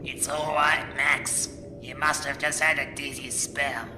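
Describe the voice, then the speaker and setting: A man's voice: fairly high pitch, little pitch movement, measured pace, close to an everyday voice. A man playing an insistent, shifty kitchen colleague in an audio drama. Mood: brisk, reassuring. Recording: noisy room ambience behind.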